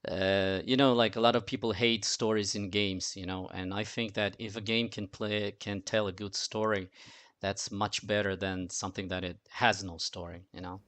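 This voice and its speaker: A man chatting casually as a podcast guest in a halting way, often repeating words. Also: lowish voice, little pitch movement, fairly bright tone. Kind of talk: casual panel chat.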